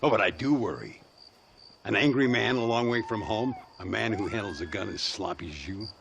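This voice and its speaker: A low, gravelly voice of an aging gunslinger, wry and world-weary. He drawls theatrically, as in a Western game cutscene, with faint noise behind him. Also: lively intonation, moderate pace. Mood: mocking, wry. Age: older. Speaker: video game character.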